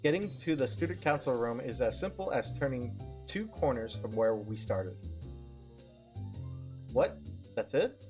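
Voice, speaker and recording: A man reading a visual novel aloud on a stream, narrating haltingly and often repeating words as he goes. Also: mid-range voice, darkish tone. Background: music.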